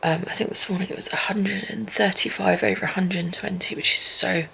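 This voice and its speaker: Woman vlogger, worn-out delivery, heavy vocal fry, narrow monotone pitch. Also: fairly quick, a little hiss or hum. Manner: weary, low-energy talk. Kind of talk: personal video diary. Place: apartment at home.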